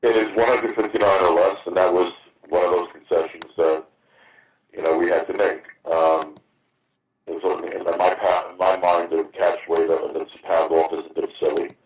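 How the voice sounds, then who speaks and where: Guy in his 50s, real low voice, kinda flat, halting, stumbles and repeats words on a call. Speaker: boxing promoter taking reporters' questions. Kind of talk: conference call interview answers. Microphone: phone on a conference call. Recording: roomy, distant, noisy teleconference phone line.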